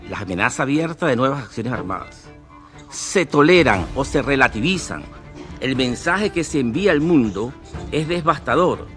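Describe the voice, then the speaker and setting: A man delivering a formal, prepared political statement in a measured, declarative way. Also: fairly high pitch, a bit bright.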